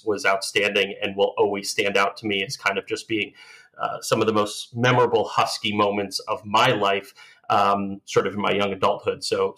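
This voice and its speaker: Panelist, man, early 30s, low-pitched, chatty and upbeat. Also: a bit bright, poor audio. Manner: upbeat, chatty fan, many fillers. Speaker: podcast panelist. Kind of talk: casual panel chat.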